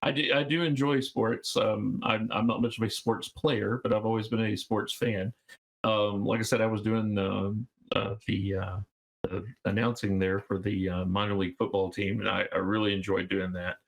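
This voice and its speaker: A man's voice: lowish voice, little pitch movement, moderate pace, gravelly, low-quality recording. A man of fifty from Georgia, chatting casually as an interview guest, rambling with fillers and restarts. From Georgia, USA. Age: 50s. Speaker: guest game designer.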